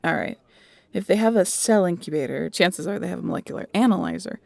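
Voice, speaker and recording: A woman voicing a snarky, excitable young scientist in an audio roleplay, chatty and nerdy. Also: lowish voice, fast, creaky, dry recording, full character voice. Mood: nerdy, explaining. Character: snarky, excitable young female scientist aboard a pirate ship. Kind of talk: audio roleplay acting addressed to the listener.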